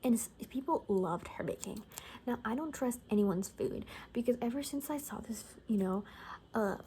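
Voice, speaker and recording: A woman speaking softly in an ASMR roleplay as a gossipy survivor, rambling casually and wryly and often dropping into whispers. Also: fairly high pitch, moderate pace, slight background noise. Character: gossipy female bunker survivor in a zombie apocalypse. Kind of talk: soft-spoken ASMR, improvised gossipy storytelling.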